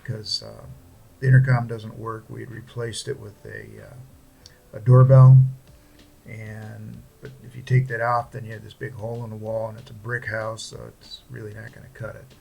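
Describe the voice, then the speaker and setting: A man talking casually through a hobby project without a script, in a halting, plain-spoken way. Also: lowish voice, little pitch movement, gravelly, slightly rough, lo-fi sound. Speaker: hobbyist presenter. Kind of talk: casual unscripted project walkthrough.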